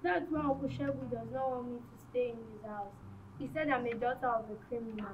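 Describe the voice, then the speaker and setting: A woman's voice: on the high side, fairly even intonation, fairly quick, lo-fi sound, a little hiss or hum, close to an everyday voice. A female performer playing a young schoolgirl, an outspoken granddaughter in a naturalistic film drama. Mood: defiant. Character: young Nigerian schoolgirl, eager but stubborn granddaughter. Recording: roomy, echoing indoor sound.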